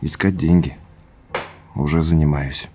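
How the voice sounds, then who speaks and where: Low-voiced, troubled businessman. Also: steady tone, unhurried, darkish tone, soft background music. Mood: earnest, stubborn. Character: troubled businessman husband, subdued, stubbornly independent. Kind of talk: naturalistic audio drama dialogue.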